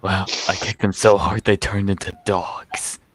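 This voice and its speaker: A man's voice: low voice, little pitch movement, measured pace, slightly rough, dry recording. A man chatting casually in banter over gameplay. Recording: noisy audio with clicks.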